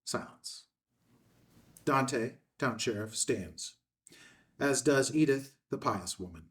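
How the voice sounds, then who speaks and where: A man's voice: mid-range voice, animated, slow, fairly bright tone, far from the mic. Man narrating screenplay, slightly off-mic. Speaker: stage directions narrator. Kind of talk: scripted narration at a table read.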